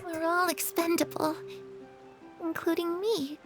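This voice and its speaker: A woman's voice: very high voice, little pitch movement, a little slow, over music. An actress voicing a wistful, bitter orphan girl in a video game.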